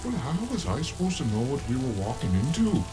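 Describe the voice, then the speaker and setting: Guy doing a jittery stocky crook, always griping, medium pitch, music behind. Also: sing-song, brisk, close to an everyday voice, low-quality recording. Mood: anxious, griping. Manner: fretful, complaining. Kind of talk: scripted audio drama dialogue.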